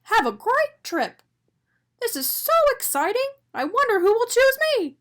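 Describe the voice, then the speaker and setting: A woman voicing an excitable, dramatic little male doughnut in a children's storybook, full of exclamation. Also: extremely high pitch, unhurried, pitched above the performer's own voice, full character voice. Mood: excited.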